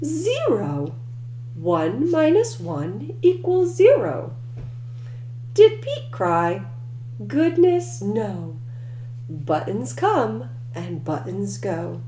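A woman reading a picture book aloud to young children as a storyteller, with deliberate, exaggerated emphasis. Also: mid-range voice, very animated, dark tone. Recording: roomy echo, faint background noise.

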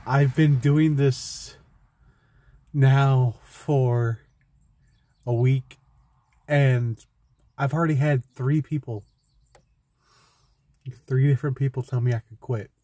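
A young man talking through a personal vlog from a parked car, slow, halting and heartfelt, with frequent restarts. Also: mid-range voice, fairly even intonation, dark tone, no room sound. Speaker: vlogger. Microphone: phone camera close in front.

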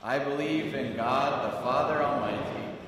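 Man, worship leader, measured prayer voice, long church reverb. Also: mid-range voice, a crowd in the background. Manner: measured liturgical recitation. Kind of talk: leading liturgy and prayers. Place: large church sanctuary.

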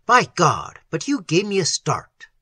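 A man voicing a cordial, concerned scholarly gentleman, earnest and emphatic, in a horror audiobook. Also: sits high, huge pitch swings, moderate pace, husky, dry recording, studio quality, pitched above the performer's own voice, heavily acted. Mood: startled, warm. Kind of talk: dramatic horror audiobook acting.

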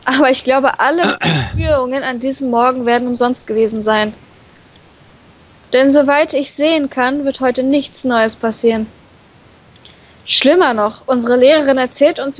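A woman reading a visual novel aloud in an animated, playful way, breaking off into her own comments. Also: high voice, moderate pace, low-quality recording. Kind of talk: expressive let's play read-aloud.

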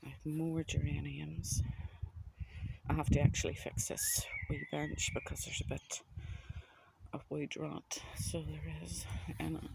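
A man with a Northern Irish accent chats gently and casually as he narrates an unscripted garden tour on a handheld phone outdoors. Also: a little high, level tone, unhurried, creaky, very noisy. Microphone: handheld phone camera.

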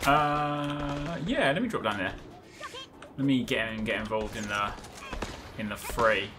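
A man in his thirties giving casual, chatty, excitable gameplay commentary, often repeating short phrases. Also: medium pitch, measured pace, music in the background. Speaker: gaming video host. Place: indoors at a desk, gaming setup.

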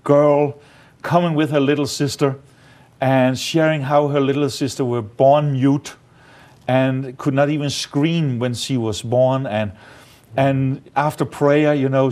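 Danish accent man, studio guest, lapel mic, halting speech, mid pitch. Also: fairly even intonation, a little mellow. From Denmark. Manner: halting, many fillers, non-native grammar. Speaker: evangelist guest. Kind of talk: interview testimony. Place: studio set, indoors.

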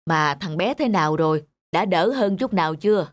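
An actress voicing a plump, middle-aged village woman in an animated folk tale, gossipy, superstitious and insistently persuasive. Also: lowish voice, normal speed, slight background noise, close to an everyday voice.

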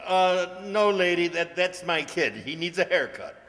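An older man playing a showy tour bus driver in an old-time radio comedy, declamatory and deliberate, on a hall stage. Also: high-pitched, animated, fairly bright tone, a little hiss or hum. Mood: flustered. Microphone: stand microphone. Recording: echoey hall stage performance.

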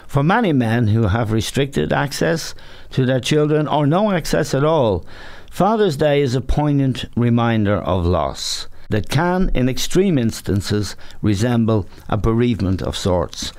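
An older Irish man hosting, reading a measured introduction and asking questions. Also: mid-range voice, big pitch swings, darkish tone. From Ireland. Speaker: interviewer, host. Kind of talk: scripted intro then interview questions.

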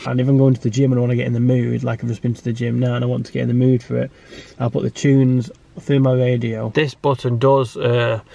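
British male vlogger, 30s, nasal from a cold, monotone with some fry, chatty and meandering, recorded in a car. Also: medium pitch. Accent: British English. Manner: rambling, with many restarts. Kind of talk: casual walkaround vlog. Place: in a parked car.

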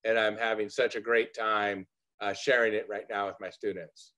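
A man's voice: on the low side, level tone, moderate pace, pushed and bright. A middle-aged man, a culinary arts professor, introducing himself eagerly with many fillers on a video call from his living room. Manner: enthusiastic, filler-heavy. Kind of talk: panel self-introduction. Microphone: webcam on video call.

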